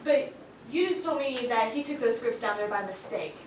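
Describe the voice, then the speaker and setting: A woman's voice: fairly high pitch, animated, normal speed, lightly acted. A woman playing a blunt, exasperated, sardonic radio writer in a live stage play. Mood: dry, suspicious. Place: indoor theater stage, picked up from afar. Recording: echoey stage, distant mic, faint noise.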